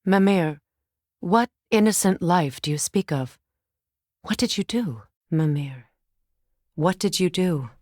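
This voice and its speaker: An actress voicing a stern, wise warrior goddess in a video game, speaking with measured, formal diction. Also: female voice, on the low side, animated, a little mellow, no echo, studio quality. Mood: grave, probing. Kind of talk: dramatic video game voice acting.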